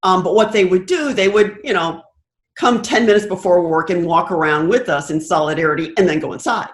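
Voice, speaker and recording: An older American woman telling anecdotes conversationally as an interview guest, thoughtful and pausing to find her words. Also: on the low side. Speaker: interview guest, broadcast producer. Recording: roomy, echoing sound.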